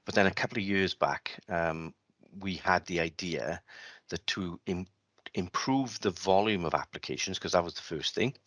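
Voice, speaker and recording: A man's voice: deep voice, normal speed, fairly bright tone, no echo. A man chairing an online meeting over a video call, talking at length with many restarts and fillers.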